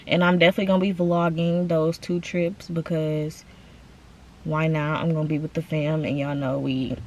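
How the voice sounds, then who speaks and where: A woman's voice: low-pitched, little pitch movement, normal speed, slight background noise, poor audio. A young woman talks straight to her phone camera from the driver's seat of a parked car. Her speech is loose and slangy and keeps circling back to her audience. It sounds close and unpolished, like a casual car vlog. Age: 20s. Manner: chatty, slangy, addresses viewers as y'all. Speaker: vlogger.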